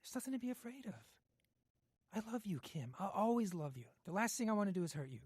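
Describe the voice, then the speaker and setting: Smooth-talking, self-serving teenage boyfriend. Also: male voice, high-pitched, sing-song, fast talker, a little mellow, exaggerated voice. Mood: coaxing. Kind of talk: audio drama dialogue.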